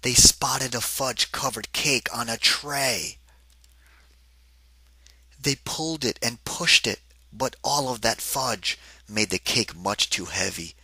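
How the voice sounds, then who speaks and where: A man giving an interactive children's read-aloud in a deliberate, singsong rhythm, like a teacher with a picture book. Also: mid-range voice, gravelly, sharp tone, slightly rough, dry recording.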